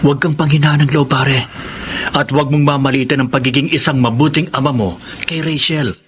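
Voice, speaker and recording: A man's voice: mid-range voice, steady tone, fairly quick, fairly bright tone, lightly acted. An actor playing an encouraging male friend in a Filipino radio drama, talking in a reassuring, conversational way. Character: loyal, encouraging male friend of a struggling father. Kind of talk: Tagalog radio drama acting. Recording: faint background noise.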